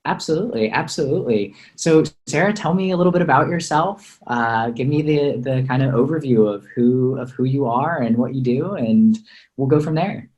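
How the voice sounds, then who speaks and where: A man's voice: medium pitch, moderate pace, a little mellow. A man hosting a podcast interview, talking in an upbeat, enthusiastic way. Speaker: podcast host.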